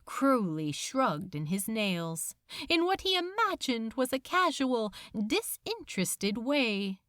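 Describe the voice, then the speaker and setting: A woman's voice: on the high side, very animated, takes it slowly, a bit bright, dry recording, clean studio sound. A woman reading a story aloud as an audiobook narrator, in a dramatic scripted style.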